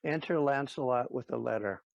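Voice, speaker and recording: A man's voice: medium pitch, moderate pace, vocal fry, no room sound. A man narrating the stage directions of an audio play as scripted narration. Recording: dull, dry lo-fi recording.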